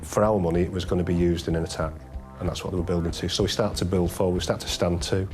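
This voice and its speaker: A man's voice: deep voice, steady tone, quick pace, darkish tone, faint music behind. A man recalling police work in an unscripted interview, matter-of-fact and colloquial like a detective.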